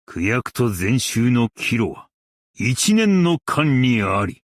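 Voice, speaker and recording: A man playing a principled, stern yet merciful scholar-strategist in a game, speaking in a formal, archaic and measured way. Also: mid-range voice, big pitch swings, no echo, clean studio sound. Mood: commanding, resolute. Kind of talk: Japanese game character voice lines.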